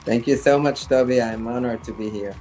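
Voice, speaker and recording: Brief: male interview guest, middle-pitched, storytelling, halting and thoughtful. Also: a little mellow, soft background music. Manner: halting, many fillers and restarts, reflective. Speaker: guest, fashion designer.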